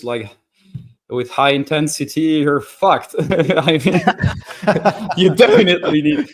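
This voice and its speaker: Male co-host, energetic and casual, laughs into his sentences. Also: mid-range voice. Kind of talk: casual livestream chat.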